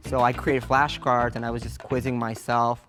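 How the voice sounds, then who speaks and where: A man's voice: mid-range voice, level tone, normal speed, faint music behind. A man giving a confessional interview, friendly and outgoing in manner. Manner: loud and friendly. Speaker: semiconductor worker, game contestant.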